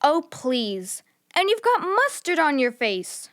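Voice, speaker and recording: An actress voicing a spirited, curious young girl with a direct, expressive manner in a children's audio drama. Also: sits high, takes it slowly, exaggerated voice. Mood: exasperated.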